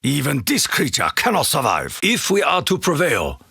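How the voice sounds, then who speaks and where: An actor playing a proud, honor-bound Japanese warrior in a video game, with a Japanese accent, gravelly husky voice and solemn, formal, declamatory delivery. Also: male voice, a little high, huge pitch swings, normal speed, sharp tone, no room sound, clean studio sound, exaggerated voice. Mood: grave, urgent. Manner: solemn, declamatory, formal clipped phrasing with dropped articles. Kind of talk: theatrical video game voice acting.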